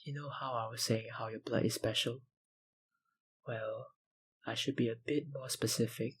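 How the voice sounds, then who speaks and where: A man playing an obsessive, dominant, hungry vampire researcher in an intimate ASMR roleplay, with a breathy, gravelly voice and a softly menacing manner. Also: medium pitch, fairly even intonation. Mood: confiding. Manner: slow, intimate, softly menacing, lapsing into whispers.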